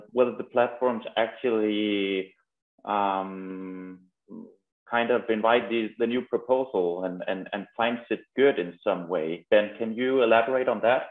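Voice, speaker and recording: A man reads out the next audience question, slowly and with pauses and fillers. His audio sounds thin and phone-like, and the room is echoey. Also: medium pitch. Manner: unhurried, hesitant with fillers. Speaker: co-host collecting audience questions.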